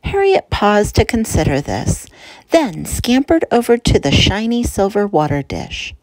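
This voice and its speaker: Female picture-book narrator: unhurried, very animated, deliberate emphasis, dry close sound. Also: mid-range voice, dry recording, rough recording. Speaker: storyteller reading aloud to children.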